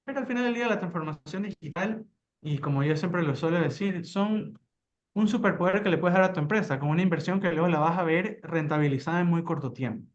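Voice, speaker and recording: A man's voice: a little high, fairly quick, lo-fi sound. A man speaking as a panelist and tech cluster leader, talking in a promotional, businesslike way. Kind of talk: webinar panel discussion.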